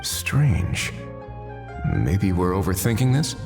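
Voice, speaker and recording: A male actor playing a calm, analytical investigator in a game, measured and composed. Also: low voice, vocal fry, exaggerated voice. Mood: watchful. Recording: reverberant, music behind the voice.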